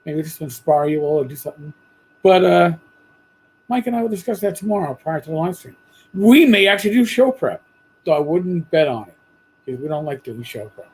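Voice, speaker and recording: A man hosting a podcast, talking bluntly and casually with a hoarse voice after losing it. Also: a little high, animated, fairly quick, rough recording. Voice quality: husky. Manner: blunt, profane, rambling. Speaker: podcast host. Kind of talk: casual monologue.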